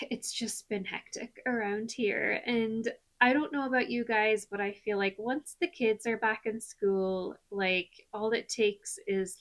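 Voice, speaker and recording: A woman in her thirties from Ireland with an Irish accent, chatting in a rambling, relaxed way with lots of ums for a knitting vlog. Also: mid-range voice. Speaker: knitting vlogger. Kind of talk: chatty podcast-style vlog.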